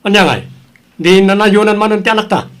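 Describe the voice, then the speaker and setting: A man's voice: sits high, steady tone, brisk, smooth, natural voice. A man playing a lazy, irritable young husband in an audio drama, grumbling and argumentative, with a Filipino accent. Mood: irritated, defiant.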